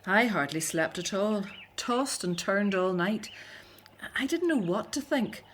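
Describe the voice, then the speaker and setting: Middle-aged daughter, hopeful yet doubting. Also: female voice, mid-range voice, animated, measured pace, a bit bright, a little hiss or hum. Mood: doubtful, wistful. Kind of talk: radio drama acting.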